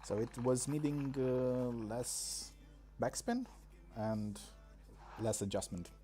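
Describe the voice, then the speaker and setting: A man from Romania, streaming a mobile game in casual, halting commentary full of pauses and fillers, on a desk microphone. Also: mid-range voice, dark tone, soft background music.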